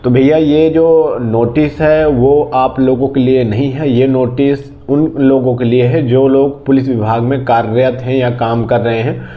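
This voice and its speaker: A man's voice: medium pitch, fairly even intonation, measured pace, few pauses, highs cut off. A man presenting an exam news update to viewers in an informal, conversational, explanatory way.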